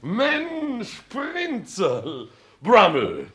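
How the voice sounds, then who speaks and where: A man's voice: high voice, huge pitch swings, drawn-out words, sharp tone, exaggerated voice. A man playing a foppish, worldly young aristocrat in a 1960s German radio play, pompous and teasing, sprinkling in French and English phrases. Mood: gushing, amused.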